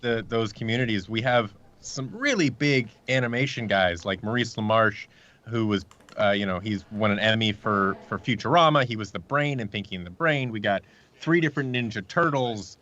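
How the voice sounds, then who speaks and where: A man with a fairly low voice chats casually as an interview guest. The sound is a little dull and lo-fi, like an online call. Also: normal speed, bright, forceful tone. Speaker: interview guest, audio drama producer.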